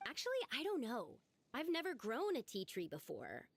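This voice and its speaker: A woman's voice: fairly high pitch, wildly swooping pitch, normal speed, breathy edge, no echo, heavily acted. An actress playing an earnest, dramatic young lady with big ambitions in a video game, speaking expressively. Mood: glum, resigned.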